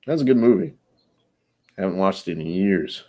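A man's voice: low voice, animated, normal speed, dark tone. A man in his thirties chatting casually on a home livestream, rambling and self-mocking, sometimes trying out joke accents. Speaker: streamer. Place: home room with shelves of bottles.

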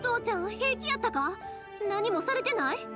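A woman's voice: very high voice, big pitch swings, moderate pace, loud music behind the voice. A woman voicing an earnest, caring young woman in an anime game, with a Kansai accent. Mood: alarmed, urgent. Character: earnest, lively young woman fireworks maker, caring daughter.

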